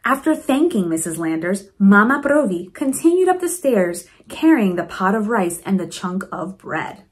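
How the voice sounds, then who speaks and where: A woman reading a storybook aloud to children in an expressive, encouraging way, with teaching asides. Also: mid-range voice, moderate pace, hardly any pauses. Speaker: principal reading a picture book to children.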